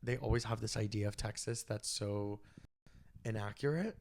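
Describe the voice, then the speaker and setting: A young man from Iraq, raised in the United States, chatting as a podcast guest in an animated, gossipy way with lots of "like". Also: on the low side, moderate pace, vocal fry, slight background noise. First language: Arabic. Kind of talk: casual chat.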